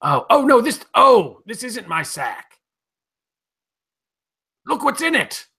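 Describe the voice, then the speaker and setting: An excitable, fretful gentleman sidekick, acted by a man in a comic radio play. His pitch is high and leaps around, and the voice is bright and raspy. He rambles hesitantly and dithers. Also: takes it slowly, heavily acted. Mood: alarmed. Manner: rambling, hesitant, swooping exclamations. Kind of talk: comic old-time radio play parody.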